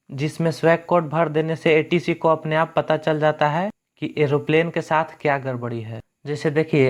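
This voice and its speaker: Higher-voiced man narrating an explainer. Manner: plain, step-by-step teaching. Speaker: educational video narrator. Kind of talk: explainer narration in simple colloquial Hindi.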